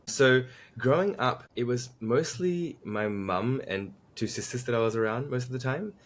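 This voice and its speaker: A man's voice: mid-range voice, expressive, normal speed. A man from Tasmania, a podcast guest chatting casually and reflectively about himself. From Tasmania, Australia.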